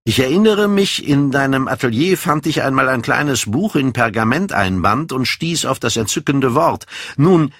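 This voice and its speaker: Guy reading as vain callous young dandy, audiobook, very up and down, haughty speeches, bit husky. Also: medium pitch, normal speed, dry recording, studio quality, pitched above the performer's own voice. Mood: airy, reproachful. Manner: animated, haughty, declamatory. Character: vain, callous young man, aesthete and self-absorbed. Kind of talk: dramatic audiobook character reading.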